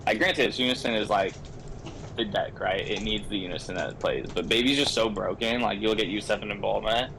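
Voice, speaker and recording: Low-voiced man talking strategy, noisy line. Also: creaky. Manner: measured, analytical, hedging with like. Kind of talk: casual group chat about a card game.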